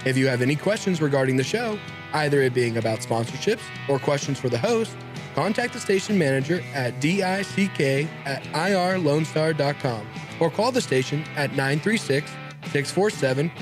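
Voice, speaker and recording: A man's voice: on the high side, expressive, normal speed. A man reading a scripted promo as a community radio station announcer. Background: plucked guitar music.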